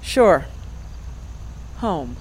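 A woman's voice: low-pitched, very animated, extremely slow, exaggerated voice, rough recording. A woman with an American accent playing a guarded, sardonic adult daughter in a naturalistic audio drama, speaking with dry hesitance. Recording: noticeable background noise.

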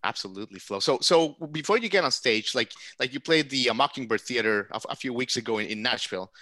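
A man's voice: mid-range voice, expressive, fast talker, sharp tone, no room sound. A man hosting an interview show, chatty and enthusiastic, often restarting his sentences. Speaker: podcast host. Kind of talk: casual interview chat.

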